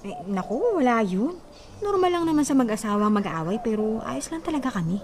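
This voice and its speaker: A defensive housewife on a budget. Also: female voice, a little high, big pitch swings, a little fast, over a noisy crowd. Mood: dismissive. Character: defensive married woman on a tight budget. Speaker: voice actor in a radio drama. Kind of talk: radio drama acting.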